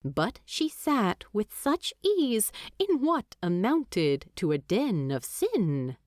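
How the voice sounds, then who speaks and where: A woman reading scripted story narration as an audiobook narrator, expressive and deliberate. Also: mid-range voice, no room sound, studio quality.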